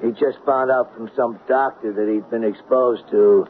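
An actor playing a down-and-out barfly in a 1970s radio drama, folksy and hesitant, cadging drinks with rough grammar. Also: male voice, mid-range voice, steady tone, moderate pace, close to an everyday voice. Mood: hesitant, sympathetic. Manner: hesitant, folksy, uneducated phrasing. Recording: muffled, faintly noisy 1970s radio recording.

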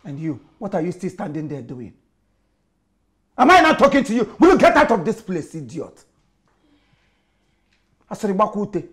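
A man's voice: a little high, lively intonation, fast talker, hardly any pauses, lightly acted. A man with a Nigerian accent playing a lecherous, blustering husband in a comedy film, stammering and repeating himself. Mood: defensive, blustering. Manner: stammering, evasive, repeats words. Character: lecherous, blustering husband caught flirting with the housemaid. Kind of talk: Nollywood comedy film acting.

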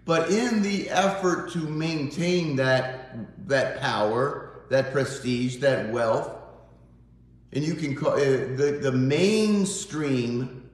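A man's voice: medium pitch, takes it slowly. Man, Southern accent, pastor, conversational devotional, phone on a tripod, small church sanctuary, heavy room echo. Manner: folksy, conversational, animated. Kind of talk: informal morning devotional. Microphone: phone camera on a tripod, close up.

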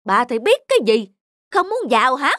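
An actor playing a greedy, scheming middle-aged village landlord in a cartoon folk tale, blustering and exclamatory. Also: male voice, extremely high pitch, sing-song, moderate pace, bright, forceful tone, no echo, studio quality, full character voice. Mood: irritated.

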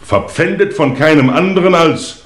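An actor playing a stern, methodical Scotland Yard investigator in a 1950s German radio crime play, formal and deliberate. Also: male voice, a little high, natural voice. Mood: official. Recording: roomy old radio play recording.

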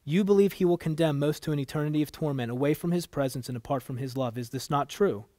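Man reading as a calm, solemn divine woman. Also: a little high, no room sound, clean studio sound, close to an everyday voice. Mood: calm, stern. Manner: measured, solemn, unemotional. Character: calm, wise divine woman judge, firm and tender.

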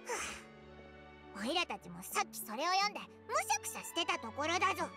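A woman voicing a tiny, childlike, excitable fairy companion in a game, with boyish, blunt speech. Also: extremely high pitch, fast, over music, exaggerated voice. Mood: grumbling. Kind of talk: Japanese game voice acting.

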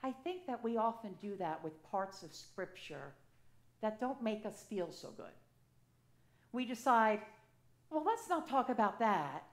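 A woman in her sixties, an assisting priest, preaching a sermon from the pulpit in a conversational, storytelling way with lively gestures, speaking into a pulpit microphone. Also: fairly high pitch, a little slow. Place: church sanctuary, at a pulpit. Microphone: gooseneck pulpit mic. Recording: echoey church sanctuary.

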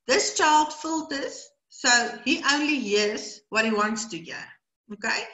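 A woman's voice: on the high side, sharp tone, echoey room, low-quality recording. A middle-aged South African woman whose first language is Afrikaans, presenting a webinar over a video call and talking in a rambling way with many fillers and repeated words. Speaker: brain training educator. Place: small room indoors. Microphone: video call webcam.

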